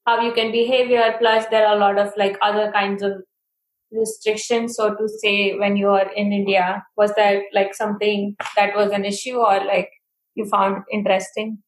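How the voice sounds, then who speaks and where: A woman asking questions in a relaxed interview, her pitch sitting in a middle range. Her voice carries a roomy echo around it. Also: normal speed. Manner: easygoing, laughs along. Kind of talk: casual interview.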